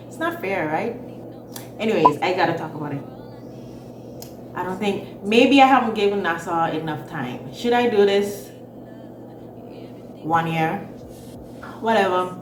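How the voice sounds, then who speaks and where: A woman's voice: low-pitched, far from the mic, noisy background. Chatty Jamaican woman in an echoey room. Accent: Jamaican with Bahamian mix. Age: 30s. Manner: chatty, expressive, repeats words for emphasis. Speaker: vlogger. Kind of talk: casual storytime chat.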